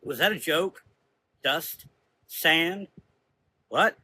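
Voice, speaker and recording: A man putting on a feisty, higher-pitched sarcastic woman. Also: extremely slow, bright, forceful tone, breathy edge, heavily acted. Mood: teasing. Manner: brash, sarcastic, outspoken. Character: feisty, sarcastic, foul-mouthed woman traveler of the 1920s. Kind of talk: gothic audio drama dialogue.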